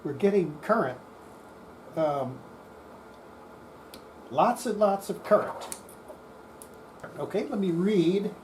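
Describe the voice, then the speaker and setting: An electronics restorer giving an unscripted hands-on repair demonstration, thinking aloud in a halting way. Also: medium pitch, expressive, darkish tone. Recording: clear background noise.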